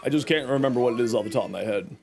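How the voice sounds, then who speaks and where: A man's voice: mid-range voice, very fast, vocal fry, a little mellow. A young man streaming a game and chatting with viewers, his voice scratchy from a cold, rambling with lots of fillers. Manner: rambling, reads and answers chat with many fillers.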